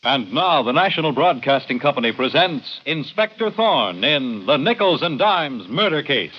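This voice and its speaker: A man's voice: on the high side, very animated, moderate pace. A man announcing and narrating a 1950s radio drama in a formal, dramatic scripted style. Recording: muffled old radio broadcast. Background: dramatic music.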